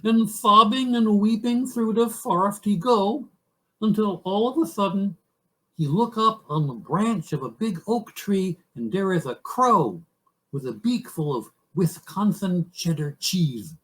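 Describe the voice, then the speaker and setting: A man voicing a goofy moose puppet who tells fairy tales with drawn-out words and rustic broken grammar. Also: sits high, animated, a bit bright, pitched above the performer's own voice, poor audio. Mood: goofy storytelling.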